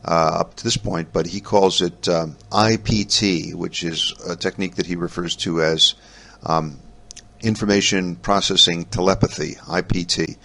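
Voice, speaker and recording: A man with a notably deep voice hosts a casual solo podcast. He rambles along haltingly, often pausing and restarting his sentences as he works through his notes. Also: fairly even intonation, bright, forceful tone. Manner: halting, rambling delivery with frequent pauses and restarts. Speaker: podcast host. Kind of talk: casual solo podcast talk about ham radio.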